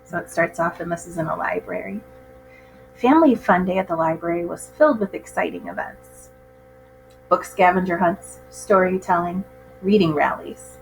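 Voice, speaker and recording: A woman reading a picture book aloud to children in an expressive, measured storytelling style. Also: lowish voice, gravelly, faint music behind, rough recording.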